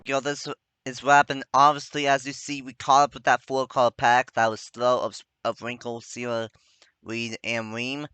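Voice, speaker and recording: A man's voice: medium pitch, little pitch movement, normal speed, sharp tone, smooth, no room sound. A man giving rambling, self-correcting play-by-play commentary on a simulated stock car race. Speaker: sim racing commentator.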